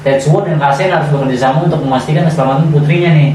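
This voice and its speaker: A man's voice: a little high, fairly even intonation, very fast, darkish tone. Male podcast co-host, Jakarta Indonesian accent, slangy buddy talk, distant and roomy sound. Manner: slangy, chummy interjections. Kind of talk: casual podcast chat. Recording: very echoey room, distant mic, faint noise.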